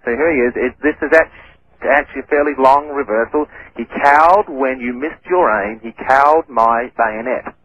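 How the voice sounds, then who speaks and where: A man's voice: medium pitch, moderate pace, fairly bright tone, slight background noise. Man who stutters, lo-fi radio. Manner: hesitant, many fillers and restarts. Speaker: reverse speech researcher, guest. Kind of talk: radio interview. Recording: dull lo-fi radio audio.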